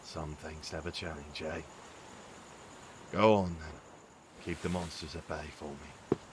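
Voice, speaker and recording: A man playing a gruff, rueful father in an audio drama, speaking in a measured, weary and earnest way. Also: extremely low pitch, steady tone, heavy background noise, exaggerated voice. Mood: gruffly resigned. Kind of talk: audio drama acting, reflective monologue.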